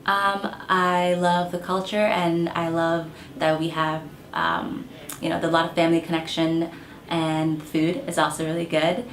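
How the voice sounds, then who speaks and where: A young woman from Kenya answers interview questions in a low voice with a gravelly edge, thinking aloud through frequent ums. Her words ring in an echoey room with a faint hiss behind her. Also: level tone. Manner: thoughtful, measured, with frequent um and uh.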